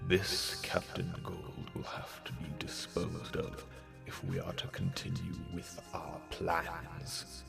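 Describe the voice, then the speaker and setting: Deep, croaking Martian villain lord. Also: male voice, big pitch swings, over music, heavily acted. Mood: cold scheming. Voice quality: deep, gravelly. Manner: slow, ominous. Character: menacing Martian villain lord, scheming and imperious.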